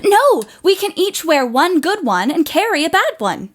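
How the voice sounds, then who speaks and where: A woman as Jo, a high-voiced boisterous teenage tomboy. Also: sing-song, moderate pace. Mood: breezy. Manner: exuberant, blunt, theatrical. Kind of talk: audio drama acting. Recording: noticeable background noise.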